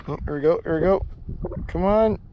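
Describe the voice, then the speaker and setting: A young man filming himself ice fishing outdoors on a frozen lake, chatting excitedly to viewers about each fish. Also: sits high, muffled recording, slight background noise. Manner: excited, chatty, quick to laugh. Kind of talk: casual play-by-play to viewers. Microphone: head-mounted action camera.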